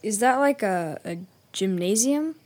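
A woman playing a blunt, excitable kid with a casual manner in a children's audio drama. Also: mid-range voice, a little slow, close to an everyday voice. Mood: casual, puzzled.

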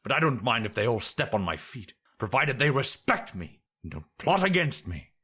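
Audiobook role: grumbling, paranoid ruler, male reader performing, low register, self-important and irritable. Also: sing-song, normal speed, bright, forceful tone, heavily acted. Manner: pompous, aggrieved, muttering. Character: grumbling, paranoid, pompous emperor.

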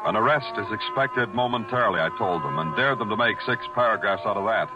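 An actor playing a world-weary, sardonic police detective with a deadpan, brooding delivery in 1940s hardboiled radio style. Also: male voice, on the low side, brisk, natural voice. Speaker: lead actor and narrator. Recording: muffled vintage 1940s radio recording, music behind.

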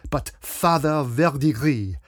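A man playing a pompous French detective, lively pitch. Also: no echo, clean studio sound. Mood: accusing. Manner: pompous, self-regarding, deliberate. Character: pompous, theatrical foreign male detective, small and dapper.

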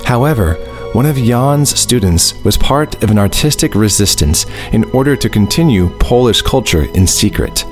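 Male narrator for audio drama, lowish register, scripted storytelling over music. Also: expressive, normal speed, hardly any pauses.

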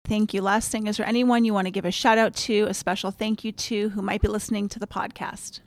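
A woman's voice: medium pitch, flat delivery, a little fast. A woman hosting a podcast in a conversational interviewing style. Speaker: podcast host.

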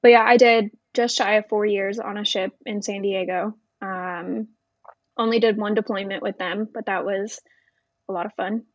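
A woman with a mid-pitched voice talks informally as a guest in a conversation, explaining as she goes. The recording is dry and close, with a slightly dull, lo-fi tone. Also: fairly even intonation, normal speed, no echo. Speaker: podcast guest, Navy veteran. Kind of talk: casual interview chat.

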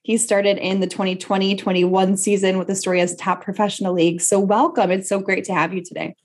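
A woman's voice: medium pitch, little pitch movement, brisk. A woman speaking as a podcast host, introducing and interviewing a guest. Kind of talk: conversational interview with a scripted introduction.

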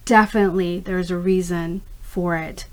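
A woman's voice: on the low side, fairly even intonation, normal speed. A middle-aged woman from Pakistan, raised in the United States, a native Urdu speaker, answering interview questions in an earnest, discursive, conversational way. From born in Karachi, Pakistan, raised in the United States from age five. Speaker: interview guest, startup founder.

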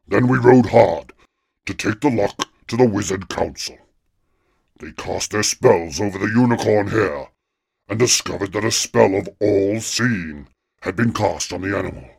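A man doing a deep, regal troll king. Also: gravelly, husky, no echo, clean studio sound, exaggerated voice. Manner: slow, grave and stately.

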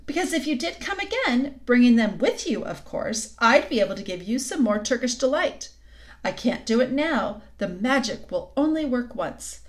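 A woman's voice: fairly high pitch, big pitch swings, normal speed, voice pushed up. Woman doing a silky, scheming queen. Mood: coaxing. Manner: coaxing, silky, suddenly sharp. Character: sweetly scheming cold queen, tall and commanding.

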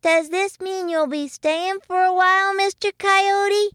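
Woman doing a high-pitched, plucky little muskrat boy. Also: fairly even intonation, unhurried, a bit bright, no room sound, clean studio sound. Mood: hopeful. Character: small eager young muskrat boy, polite and plucky.

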